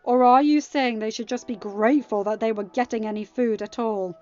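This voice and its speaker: A woman's voice: a little high, steady tone, brisk, darkish tone, muffled recording, natural voice. A woman voicing a stern, righteous sultan with a hard, pointed delivery in a storybook reading. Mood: hard, challenging.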